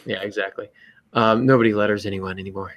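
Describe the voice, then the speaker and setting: A man's voice: lowish voice, steady tone, fast, a bit bright, no room sound. A man co-hosting a podcast, giving casual writing advice in a joking, self-mocking manner. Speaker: podcast co-host and novelist.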